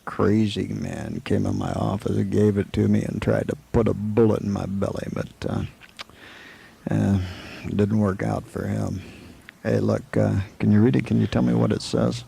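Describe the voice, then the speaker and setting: A man playing a steady, weary frontier marshal in a western radio play, with a halting, folksy, deliberate delivery. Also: lowish voice, vocal fry, breathy edge, heavily acted. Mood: measured.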